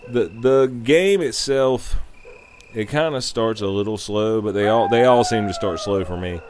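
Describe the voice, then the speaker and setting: A man giving a casual, rambling game review in a loose, halting, unscripted way. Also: lowish voice, a little mellow, slight background noise.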